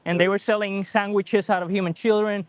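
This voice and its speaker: A man's voice: high voice, flat delivery, brisk, dry recording. A man interviewing strangers outdoors at a beach with a handheld mic, pressing them with persistent ethical questions. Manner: persistent, probing, argumentative. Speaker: vegan activist interviewer. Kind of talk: street debate questioning.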